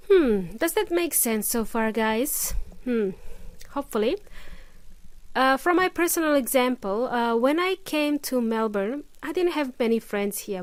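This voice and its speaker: A woman's voice: on the high side, no echo. A woman hosting an English lesson podcast, explaining patiently with plenty of examples and the odd filler. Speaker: English teacher, podcast host. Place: lives in Melbourne.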